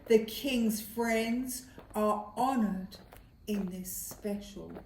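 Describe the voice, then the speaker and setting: A woman voicing a self-important royal advisor in a children's puppet show, measured and grand, in an echoey room. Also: mid-range voice, a little mellow, slight background noise. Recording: roomy echo.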